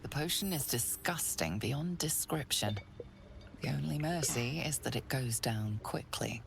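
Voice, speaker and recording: A woman playing the grave, ominous narrator of a dark fantasy video game, deliberate and hushed in her dramatic storytelling. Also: extremely low pitch, creaky, noisy background, heavily acted. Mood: wry, hushed. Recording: loud music behind.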